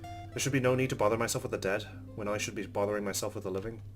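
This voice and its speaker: A man's voice: fairly low pitch, steady tone, very fast, faint music behind. A man hosting a let's play, reading game narration aloud and adding casual commentary.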